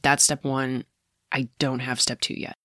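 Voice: medium pitch, little pitch movement, a little slow, bright, forceful tone, dry recording, studio quality. An actor playing a determined amateur ghost investigator in an audio drama, confiding in a conversational, wry, self-interrupting way. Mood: tentative, determined. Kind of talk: naturalistic audio drama monologue.

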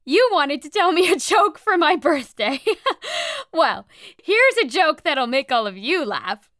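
A young woman playing a sweet, innocent princess raised in the woods who speaks gently and laughs easily. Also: high-pitched, big pitch swings, a little slow, no room sound, studio quality, heavily acted. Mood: laughing. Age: young adult. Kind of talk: audio drama acting.

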